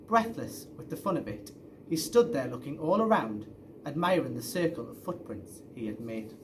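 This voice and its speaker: A man's voice: mid-range voice, expressive, normal speed, noisy background. A man reading a children's story aloud expressively, as a storyteller. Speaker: story reader.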